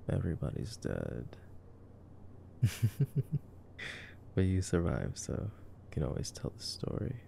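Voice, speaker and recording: A man with a low voice and a very heavy creak chats casually in an ASMR boyfriend roleplay. He reacts in a playful, wry way, and his pitch moves around a lot. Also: huge pitch swings, measured pace, long pauses, dark tone, a little hiss or hum. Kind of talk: ASMR sleep-aid movie commentary, casual chat.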